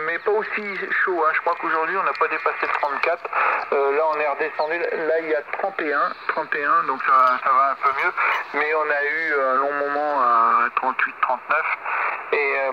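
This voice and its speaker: A man chatting casually as an amateur radio operator, rambling and hesitant, often repeating his phrases. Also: fairly high pitch. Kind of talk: casual ham radio chat. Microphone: voice received over amateur radio. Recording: dull, very noisy shortwave radio reception.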